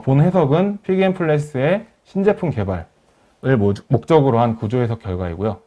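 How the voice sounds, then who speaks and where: A man narrating a software tutorial as a webinar instructor, explaining each step in a polite, formal way. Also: medium pitch. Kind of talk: software tutorial narration over screen demo. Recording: dull lo-fi voice recording.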